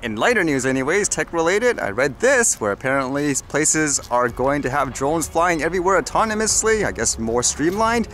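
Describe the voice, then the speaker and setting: Higher-pitched, animated man rambling casually. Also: moderate pace, hardly any pauses, a little hiss or hum. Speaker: vlogger giving news commentary. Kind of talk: casual off-the-cuff commentary.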